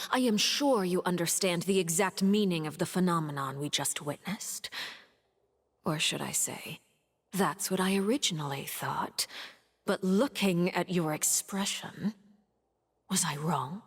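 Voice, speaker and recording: A woman playing a cold, composed diplomat in a game, speaking in a measured, formal, sardonic and pointed way. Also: lowish voice, husky. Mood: cold, probing. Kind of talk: dramatic game voice acting. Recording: music under the voice.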